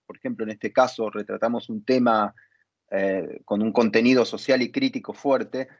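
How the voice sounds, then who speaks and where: A man hosting a reflective conversation, thinking aloud in deliberate, halting phrases. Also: fairly low pitch, steady tone, dull audio. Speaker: interview host, theatre dramaturg.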